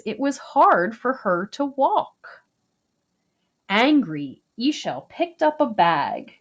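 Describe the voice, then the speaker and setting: Woman, storybook read-aloud, gentle and expressive, slightly dull lo-fi sound. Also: a little high. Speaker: storybook reader. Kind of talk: children's picture book read-aloud.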